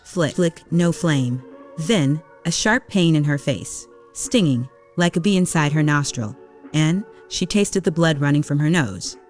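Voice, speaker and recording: A woman's voice: low-pitched, expressive, measured pace, a little mellow, soft background music. A woman reading scripted story narration for an audio drama.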